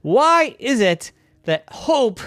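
A parent telling a bedtime story, voicing Becky, a fed-up female basketball hoop who complains in quick indignant questions. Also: medium pitch, sing-song, a bit bright, no echo, studio quality.

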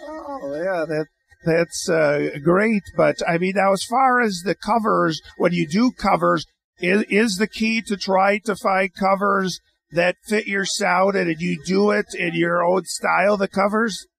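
A man's voice: sits high, unhurried, creaky, a bit bright. A male radio host interviewing a guest, asking rambling questions with frequent stops and restarts.

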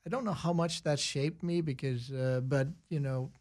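A middle-aged or older man from Denmark with a Danish accent, talking casually and reflectively as an interview guest in halting phrases. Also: a little high, fairly even intonation, a little mellow. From small rural town in Denmark. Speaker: interview guest, business advisor.

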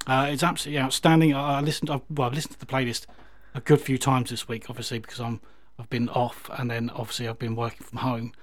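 A man's voice: mid-range voice, level tone, fast, few pauses. A man presenting a music radio show in a casual, enthusiastic, effusive way between songs.

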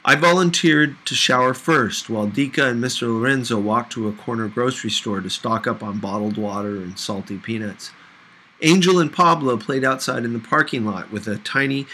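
A man reading a novel aloud as expressive scripted narration. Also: mid-range voice, a little hiss or hum. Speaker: audiobook reader.

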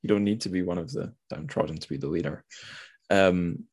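A man's voice: deep voice, a little fast, a little mellow, husky. A man hosting a casual literary discussion, thinking aloud and correcting himself as he goes. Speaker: podcast host.

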